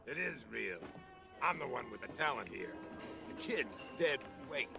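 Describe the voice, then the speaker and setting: An actor voicing a cocky, lecherous ventriloquist's dummy in a TV drama, gravelly and wisecracking. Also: male voice, medium pitch, sing-song, a little slow, exaggerated voice. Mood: leering. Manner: wisecracking, leering. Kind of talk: TV drama acting. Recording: TV show audio with background music.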